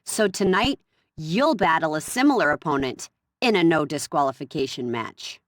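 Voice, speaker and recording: An actress playing a businesslike woman who directs a wrestler in a video game story scene, brisk and directive. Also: low voice, expressive, noisy background.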